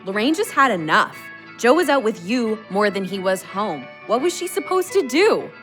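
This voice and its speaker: A woman playing a sharp-tongued, unimpressed barmaid in an audio drama, sardonic and scolding. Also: mid-range voice, very animated, moderate pace, soft background music.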